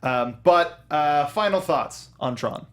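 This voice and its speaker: A man's voice: on the high side, lively intonation, takes it slowly, a bit bright. A man co-hosting a casual movie review show, talking enthusiastically and rambling with fillers. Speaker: podcast co-host.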